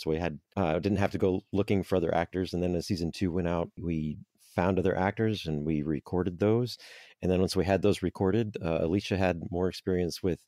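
A laid-back man guest with a very low voice, explaining his team's work while he thinks it through. He downplays things with easy self-deprecation. The sound is dry and close. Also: mellow, no echo. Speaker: audio drama producer, guest. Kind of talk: conversational interview answers.

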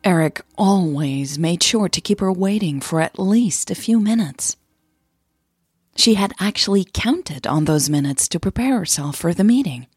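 A woman's voice: lowish voice, lively intonation, a little slow, darkish tone, husky, dry recording, clean studio sound. A woman reading scripted audio drama narration as a podcast host and narrator.

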